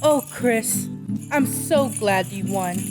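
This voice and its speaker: A woman playing a gentle, sincere mother in an old-time radio drama, speaking earnestly and with measured care. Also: a little high, expressive, music in the background. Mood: pleased.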